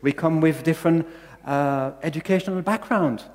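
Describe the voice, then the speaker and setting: A man's voice: fairly high pitch, normal speed, darkish tone, big-room sound. A man from Belgium with a Belgian accent, preaching a sermon in English as a second language, earnest and repeating key phrases for emphasis. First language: not English. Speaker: pastor.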